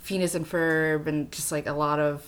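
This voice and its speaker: A woman's voice: sits low, fairly even intonation, moderate pace. A young adult woman co-hosting a podcast in casual chat, peppering her talk with "like" and acting out quoted reactions. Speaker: podcast co-host.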